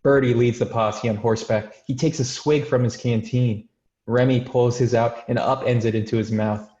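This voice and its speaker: A man's voice: mid-range voice, steady tone, moderate pace, mellow, roomy sound, muffled recording. A man reading screenplay stage directions as scripted narration.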